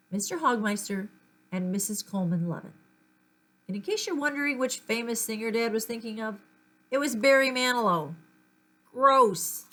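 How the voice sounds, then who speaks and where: Animated high-voiced woman reading a story. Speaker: audiobook-style reader. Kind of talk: expressive children's story read-aloud.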